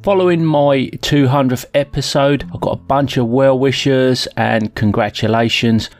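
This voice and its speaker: A man's voice: medium pitch, fairly even intonation, normal speed, faint music behind. A man hosting a podcast, talking casually and informally in a self-deprecating way. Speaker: podcast host. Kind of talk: casual solo podcast talk.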